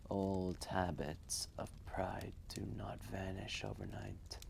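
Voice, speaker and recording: Man voicing an ancient dragon, very gravelly and slow. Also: sits low, slight background noise, full character voice. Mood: reflective, solemn. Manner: hushed, breathy, measured, with long pauses. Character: ancient wise dragon, gentle, reflective, fatherly. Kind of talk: slow intimate storytelling roleplay, ASMR-like.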